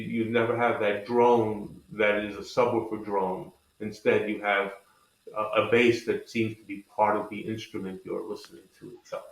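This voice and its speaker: A man with a fairly low voice explains products in a conversational, enthusiastic way, like a company head in an interview. His voice carries a clearly roomy echo. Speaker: company president being interviewed. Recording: echoey, roomy sound.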